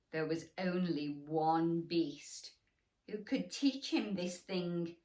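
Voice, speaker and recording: Female bedtime storybook reader for small children: slow, expressive, gently encouraging, recorded in an echoey room at a distance. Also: mid-range voice. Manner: animated, gently encouraging, addressing children directly. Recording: roomy echo, distant microphone.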